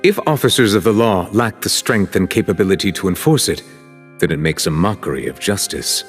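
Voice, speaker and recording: A man playing a stern, composed chief justice in a video game, speaking in a formal, measured, courteous way. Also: deep voice, animated, faint music behind. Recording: long hall reverb.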